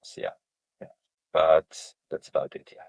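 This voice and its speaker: A man's voice: a little slow, no echo. A man from Switzerland, a veterinary dermatologist, telling his story plainly in a casual interview.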